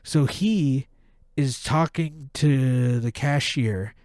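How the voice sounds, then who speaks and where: A man with a blocked, nasal voice rants heatedly, swearing as he goes. He talks at an unhurried, drawn-out pace. The sound is close and dry, with no room echo. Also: medium pitch, darkish tone. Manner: profane, heated ranting. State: stuffed-up nose, nasal. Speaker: podcast host. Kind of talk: casual ranting monologue.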